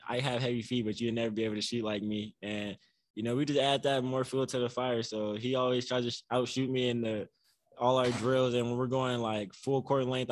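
Young man, college basketball player, postgame press conference, easygoing, soft-spoken, many fillers, dry table mic. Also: medium pitch, dry recording, poor audio. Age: young adult. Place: press room before a banner. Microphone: table mic on a stand.

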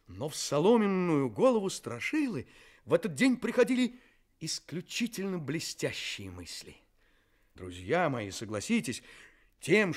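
A man narrating a children's radio play, confiding in his young listeners as a storyteller. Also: mid-range voice.

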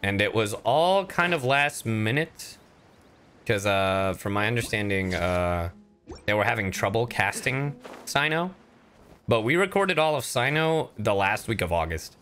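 A man streaming a game and chatting casually, animated and often halting mid-thought. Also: on the low side, smooth. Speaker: streamer and voice actor. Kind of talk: casual livestream chat over gameplay.

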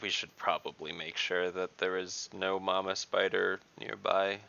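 A man with a very deep voice full of fry plays in a tabletop game, trading dry jokes. His tone has a bright edge, and the call audio sounds dull and lo-fi. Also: level tone, a little slow. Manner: wry, joking. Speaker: player.

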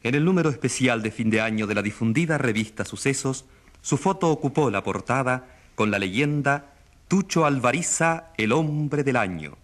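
A man's voice: medium pitch, lively intonation, normal speed, a bit bright, close to an everyday voice. A man acting a radio studio announcer, reading scripted profiles formally and with emphasis in a radio drama. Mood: formal, emphatic.

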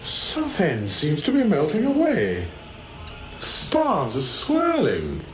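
High, swooping, bewildered Englishman. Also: wildly swooping pitch, slow, exaggerated voice. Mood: alarmed. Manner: plaintive, incredulous. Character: bewildered, exasperated English everyman stranded in space. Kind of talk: comic science-fiction radio drama. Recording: radio play with music behind.

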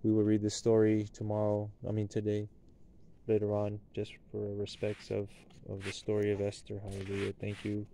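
Man leading prayers outdoors at night, mellow tone, rises into loud chanted blessings, handheld camera close by. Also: lowish voice, monotone, moderate pace, vocal fry, slight background noise, low-quality recording. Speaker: leader of a Sabbath blessing. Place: outdoors at night at a picnic table.

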